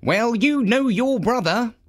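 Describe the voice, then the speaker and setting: A man's voice: very high voice, lively intonation, takes it slowly, voice pushed up, heavily acted. A man voicing an anxious, deferential male steward in a children's storybook, polite and careful in manner. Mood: resigned.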